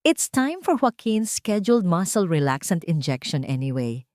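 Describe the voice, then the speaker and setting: Woman playing a sharp, condescending lady doctor. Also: fairly low pitch, sing-song, moderate pace, dry recording, studio quality. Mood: falsely calm. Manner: cutting, patronizing, mixes in English medical terms. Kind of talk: audio drama character dialogue.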